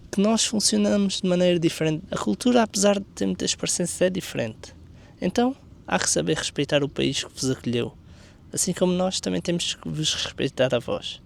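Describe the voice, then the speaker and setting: A man's voice: fairly high pitch, gravelly. A man from Portugal with a European Portuguese accent, delivering an earnest opinion monologue full of rhetorical questions. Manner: earnest, argumentative. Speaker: commentary video creator.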